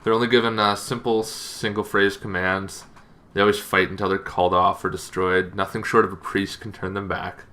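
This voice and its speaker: A man hosting a tabletop gaming channel, giving a casual, informal explainer with halting, loosely phrased delivery. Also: deep voice, level tone, pushed and bright.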